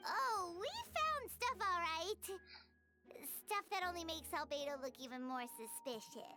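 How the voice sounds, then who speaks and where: Chirpy little fairy companion, very high squeaky voice, swooping intonation, eager and loyal, game acting. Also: female voice, expressive, a little slow, over music. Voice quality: squeaky, childlike. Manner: exclaiming, wondering aloud. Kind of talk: animated video game acting.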